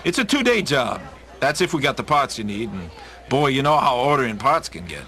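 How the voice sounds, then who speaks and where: Man acting bright, conniving burly plumber. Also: medium pitch, big pitch swings, a little fast. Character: burly, conniving rival plumber upselling a job. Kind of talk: radio play acting.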